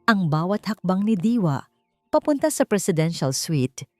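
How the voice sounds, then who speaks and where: Low-voiced Filipina narrator over music. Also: female voice, big pitch swings, normal speed, warm tone, no room sound. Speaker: audiobook narrator. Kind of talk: scripted dramatic story narration. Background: background music.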